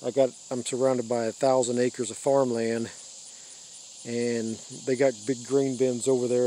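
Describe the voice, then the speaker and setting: An older American man giving a casual, unscripted show-and-tell in halting short phrases, recorded outdoors on a handheld device. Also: mid-range voice, dark tone, slight background noise, rough recording. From United States. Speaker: radio hobbyist giving a tour. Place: open rural backyard, outdoors. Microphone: handheld phone or camera mic.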